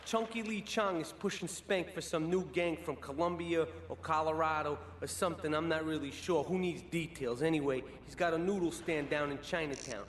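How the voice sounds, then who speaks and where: A male actor plays a young American mob heir from a crime game, cocky and casual. His voice is a little high and rings with long reverb over faint noise. He talks in offhand street slang. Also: normal speed, few pauses, natural voice. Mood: dismissive, menacing. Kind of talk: video game cutscene acting.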